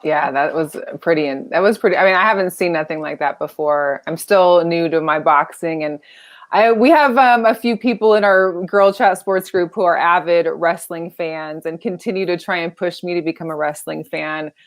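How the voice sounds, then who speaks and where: Lower-voiced woman co-host, halting questions. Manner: halting, repeats words while forming questions. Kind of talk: casual interview chat.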